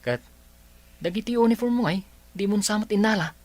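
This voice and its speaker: A man's voice: high-pitched, fairly quick, no room sound, close to an everyday voice. A man with an Ilocano Filipino accent playing a supportive, easygoing buddy in a radio drama. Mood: friendly, obliging.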